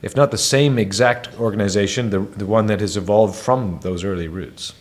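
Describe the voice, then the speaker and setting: A man who grew up in Spain, a missionary guest sharing his testimony in a measured, hesitant way with many fillers. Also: lowish voice. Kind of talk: reflective personal testimony.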